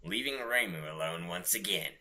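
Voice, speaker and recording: A man's voice: low-pitched, lively intonation, normal speed, sharp tone. A man co-hosting a podcast, reading comic fan fiction with giggly, irreverent banter. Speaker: podcast co-host.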